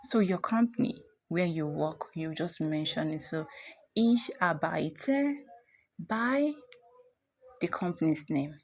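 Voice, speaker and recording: Low-pitched, unhurried woman teaching. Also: lively intonation, dry recording, low-quality recording. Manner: deliberate, explanatory, enunciating example phrases. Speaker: German language teacher. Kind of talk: instructional language lesson.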